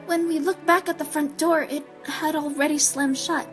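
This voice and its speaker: A woman's voice: high-pitched, steady tone, faint music behind, close to an everyday voice. A woman playing an anxious, fragile schoolgirl in an audio drama, speaking hesitantly and narrating her own story. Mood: pensive. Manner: hesitant, halting. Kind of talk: anime-style audio drama acting.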